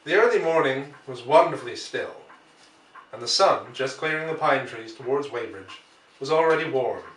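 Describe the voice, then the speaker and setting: Male audiobook reader, mid-pitched and deliberate, scripted dramatic narration, echoey room. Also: animated, a bit bright, a little hiss or hum. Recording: roomy, distant microphone.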